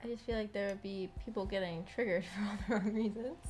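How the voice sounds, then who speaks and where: An American woman streamer chatting casually in a rambling, halting, giggly way. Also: medium pitch, steady tone, a little mellow, a little hiss or hum. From United States. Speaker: streamer, visual novel book club host. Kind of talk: casual livestream chat. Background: background music.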